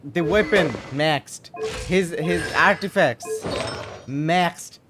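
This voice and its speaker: A man's voice: a little high, extremely slow, noisy background. A man giving casual gameplay commentary as a let's player, muttering and repeating himself in exasperation.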